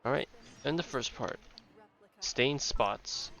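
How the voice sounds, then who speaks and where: A man's voice: mid-range voice, takes it slowly, gravelly, sharp tone. A man streaming a game, giving casual, musing play-along commentary in an offhand way.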